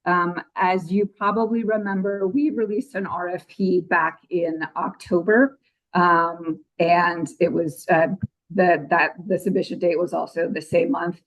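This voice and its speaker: Woman with a scratchy voice, lowish pitch, lots of ums, reporting to a meeting. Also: fairly even intonation, measured pace. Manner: frequent ums, apologetic. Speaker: commission member giving updates.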